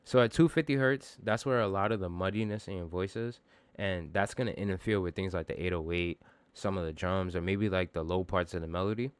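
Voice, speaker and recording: A man with a low, bassy voice walks through a lesson in a casual way. His speech is clean and smooth, full of slang and the odd swear word, with a modest, self-deprecating streak. The sound is very dry and close. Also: a little fast, no echo. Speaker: music producer giving a vocal mixing tutorial. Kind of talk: casual tutorial talk.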